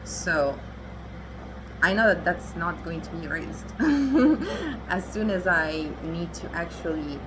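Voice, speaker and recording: A woman's voice: lowish voice, sing-song, takes it slowly, noisy background. A young adult woman whose first language is Italian, doing a chatty, assertive tarot reading and laughing often. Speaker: tarot reader.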